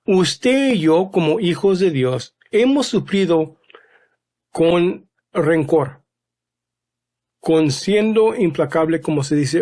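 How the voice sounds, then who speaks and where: Slow, halting man preaching Bible talk. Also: on the high side, darkish tone. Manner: slow, searching, many fillers and restarts; asks rhetorical questions to the listeners. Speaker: podcast host and Bible teacher. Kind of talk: casual sermon-style Bible teaching.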